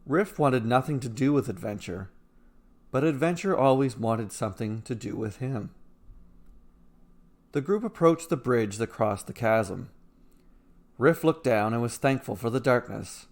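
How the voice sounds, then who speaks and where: A man reading a scripted fantasy short story as a storyteller, with dramatic, deliberate phrasing. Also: medium pitch, lively intonation.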